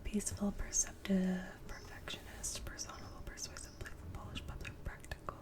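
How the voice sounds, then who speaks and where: A woman speaking in a soft, breathy whisper, gently and without hurry, as a clinician in an ASMR telehealth roleplay. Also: far from the mic. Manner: gentle, unhurried clinician murmuring lists and assessments. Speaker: ASMR performer playing a telehealth doctor.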